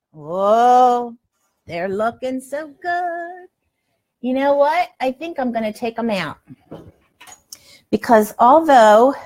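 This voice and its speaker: A woman in her sixties hosting a casual livestream from her kitchen, chatty and folksy, given to exclaiming. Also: a little high. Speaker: live cooking show host. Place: home kitchen, indoors. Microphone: lapel mic.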